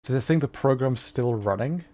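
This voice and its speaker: A man's voice: mid-range voice, moderate pace, warm tone. Casual man chatting on a livestream. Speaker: livestreamer, VTuber. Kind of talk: casual livestream chat while playing a game.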